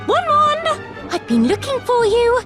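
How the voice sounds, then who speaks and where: A woman playing a clingy, gushing, shrill teenage girlfriend in a video game. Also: wildly swooping pitch, unhurried, music in the background, heavily acted. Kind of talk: video game cutscene voice acting.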